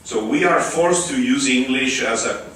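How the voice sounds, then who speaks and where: A man with an Italian accent giving a conference talk, pausing often with fillers and restarts. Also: medium pitch, fairly bright tone, slight background noise. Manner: halting, with many restarts and fillers. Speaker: conference speaker. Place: large conference hall. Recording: echoey hall, far from the mic.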